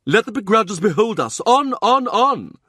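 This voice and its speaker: A man's voice: sits high, very animated, moderate pace, fairly bright tone, slightly rough, no room sound, clean studio sound, voice pushed up, exaggerated voice. A man voicing a portly, jovial aging cardinal who speaks in an expansive, commanding way. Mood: boisterous.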